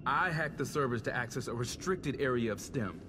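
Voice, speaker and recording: A man's voice: medium pitch, expressive, moderate pace, noisy background, natural voice. A man playing a savvy, self-interested tech operative in a video game, talking in a wry, slangy, casual way. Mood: businesslike. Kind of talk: video game cutscene acting.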